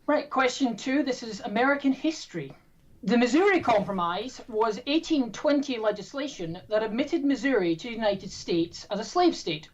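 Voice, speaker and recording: A woman hosting a trivia quiz, reading questions in a brisk, playful, self-mocking way. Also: on the high side, animated, dull audio. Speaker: quiz host.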